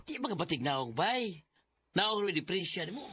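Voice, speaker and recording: An actor playing a teasing, good-natured man in a Filipino radio drama, with a husky voice and a Bisaya accent. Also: high-pitched, very animated, normal speed, noisy background. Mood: concerned, coaxing.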